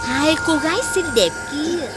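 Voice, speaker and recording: A woman's voice: sits high, unhurried, very noisy. A woman playing an irritable, imperious moonlight witch in a scripted children's fairy tale, scolding dramatically. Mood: menacing. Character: ugly, irritable moonlight witch hiding in a well.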